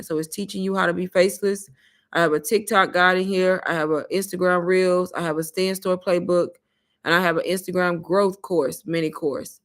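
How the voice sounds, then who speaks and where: A woman hosting a casual livestream, pitching an online course and taking viewers' questions in a chatty, repetitive way while calling her viewers "y'all.". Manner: chatty, repeats herself, addresses viewers as "y'all".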